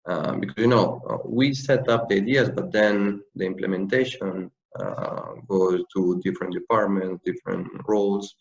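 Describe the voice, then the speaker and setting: A man speaking as a panel guest in an online webinar, relaxed and filler-laden, with slightly non-native English phrasing. His voice is very deep with a gravelly fry. The call audio comes through dull and lo-fi. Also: moderate pace, few pauses. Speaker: panel guest, corporate sustainability lead. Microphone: video call.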